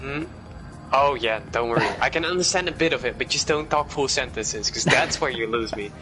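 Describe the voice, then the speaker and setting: A Dutch-speaking man doing live, chatty commentary on a sim race over a headset, getting excited when the action picks up. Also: mid-range voice, brisk, low-quality recording. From Netherlands. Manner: chatty, jokey, rises to excited shouts on action. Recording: live stream with loud music underneath. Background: loud music bed and racing car sounds.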